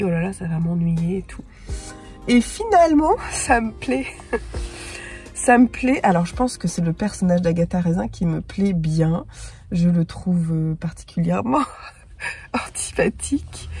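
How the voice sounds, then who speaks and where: A middle-aged woman chatting casually about her reading from her parked car, congested with a sore throat and talking haltingly with frequent hesitations. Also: lowish voice, a little mellow, husky. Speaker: book vlogger. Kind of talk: casual reading-journal chat.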